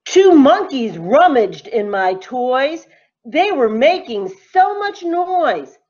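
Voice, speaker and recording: Very slow, animated woman reading a storybook. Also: high-pitched, muffled recording. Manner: deliberate, animated, sing-song phrasing. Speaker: teacher reading aloud to children. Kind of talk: expressive storybook read-aloud in rhyme.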